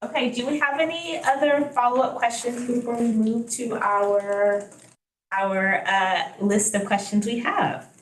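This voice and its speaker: A woman's voice: fairly high pitch, fairly even intonation, unhurried, echoey room, poor audio. A woman moderating a student panel, polite and somewhat hesitant, over a video call.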